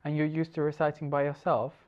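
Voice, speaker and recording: A woman's voice: deep voice, flat delivery, fast, darkish tone, dull audio. A woman presenting a children's show, patient and encouraging.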